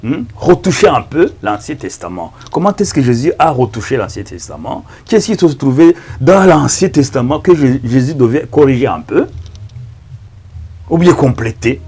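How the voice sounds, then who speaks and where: A man with a Rwandan accent, a native Kinyarwanda speaker, preaching as a pastor in a halting, emphatic manner with repeated words. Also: mid-range voice, big pitch swings, a little mellow, breathy edge. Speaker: pastor and evangelist. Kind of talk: preaching introduction to camera.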